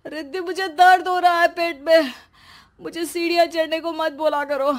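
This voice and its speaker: A woman voicing a whiny, self-pitying young husband in animated story dialogue, complaining dramatically. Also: very high-pitched, moderate pace, voice pushed up. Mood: distressed.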